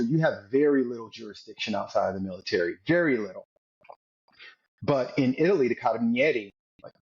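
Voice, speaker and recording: A man's voice: mid-range voice, darkish tone, husky, muffled recording. A man in his forties, a former Navy SEAL, earnestly telling his life story as a podcast guest, often repeating words as he goes. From United States. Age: 40s. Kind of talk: storytelling interview.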